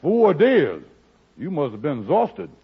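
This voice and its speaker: A man's voice: a little high, wildly swooping pitch, unhurried, vocal fry, breathy edge, heavily acted. A man acting an indignant, blustering husband in a golden age radio sitcom, with folksy, ungrammatical speech. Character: Kingfish, indignant, blustering husband turned amateur sleuth. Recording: muffled vintage radio recording.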